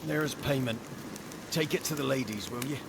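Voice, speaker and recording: Male actor, hardened rustic villager, grim, wary, dialect speech, medium pitch, game drama, noisy ambience. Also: normal speed, vocal fry, lightly acted. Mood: grim resigned. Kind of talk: video game cutscene acting.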